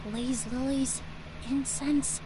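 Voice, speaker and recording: A calm, analytical investigator. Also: female voice, sits high, takes it slowly, over music. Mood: pensive. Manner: thoughtful, reasoning aloud. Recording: noisy game audio capture.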